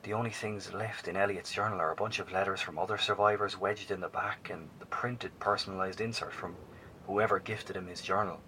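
A sombre chronicler in a horror audio drama. His voice is fairly low with a bright, forceful edge, and he narrates in a measured, reflective way over a faint rumble. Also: steady tone, rough recording. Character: sombre male chronicler recounting survivors' fate.

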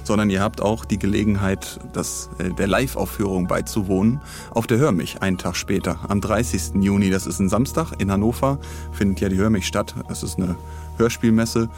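A man's voice: fairly low pitch, fairly quick, a little mellow, soft background music. A man co-hosting a podcast in casual chat, rambling along with dry humour in a studio. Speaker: podcast co-host. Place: recording studio.

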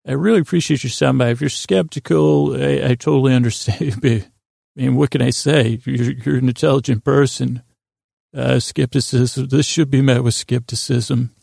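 A man speaks in a deeply gravelly, fry-laden voice that barely moves in pitch. He rambles like a bedtime podcast host, halting and wandering between half-finished thoughts. The recording is dry and close, with no room to it. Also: fairly even intonation, darkish tone, no room sound. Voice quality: gravelly, creaky. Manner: meandering, halting, trailing off mid-thought.

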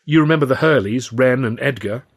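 A man's voice: medium pitch, brisk, natural voice. A man voicing a wry, resentful English vaudevillian with a pointed manner, in an audiobook.

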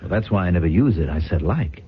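Cynical scheming son-in-law, radio drama: low, swinging intonation, mocking, muffled vintage recording. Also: male voice, animated, quick pace, breathy edge, heavily acted. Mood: cold, gloating. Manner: sardonic, mocking, casual. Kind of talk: radio drama acting and first-person narration. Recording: dull, band-limited old radio recording.

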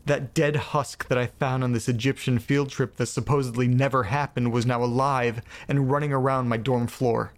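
A man acting in an audio drama as a shaken narrator reading an eerie first-person account, with deliberate, broken phrasing and dramatic pauses. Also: medium pitch, fairly even intonation, lightly acted. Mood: uneasy.

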